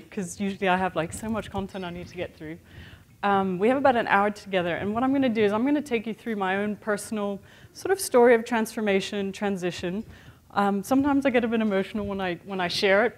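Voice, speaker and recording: A woman's voice: medium pitch, fast talker. Woman speaker with a mixed international accent. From born in the United States, raised in Europe and Asia. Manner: conversational and candid, with many "sort of" and "you know" fillers. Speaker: keynote speaker. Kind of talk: motivational keynote talk. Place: hotel conference room with an audience.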